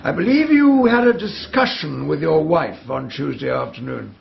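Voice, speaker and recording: A man's voice: fairly high pitch, animated, creaky, muffled recording, a little hiss or hum. A man playing a stern British coroner in a radio drama, questioning formally and pointedly. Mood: probing. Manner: formal, pointed, measured phrasing. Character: stern, probing coroner questioning witnesses at an inquest.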